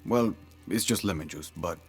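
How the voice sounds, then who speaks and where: A man's voice: deep voice, moderate pace, husky, faint music behind, heavily acted. A man playing an older village mapmaker in a video game, genial and proud of his craft, easygoing and lightly teasing. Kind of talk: cinematic video game acting.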